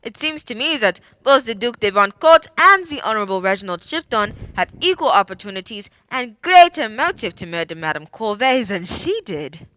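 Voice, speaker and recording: Woman as stern prefect of police, radio drama: highish voice, lively pitch, formal authority. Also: moderate pace, hardly any pauses, rough recording. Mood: formal, measured. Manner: commanding, formal. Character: stern female French police prefect presiding over a case. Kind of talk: radio play acting.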